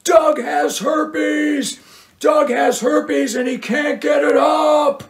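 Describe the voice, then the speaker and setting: A woman voicing a loud, volatile rock groupie who shouts and screams. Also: big pitch swings, a little slow. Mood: ranting. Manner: shrill, shouting, screaming tirades.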